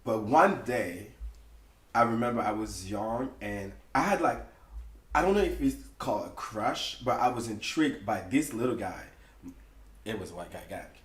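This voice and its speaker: A French-born man, an interview guest, chatting in a flamboyant, animated way and laughing often. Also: fairly low pitch, normal speed. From France. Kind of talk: casual conversation. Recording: echoey room, distant mic.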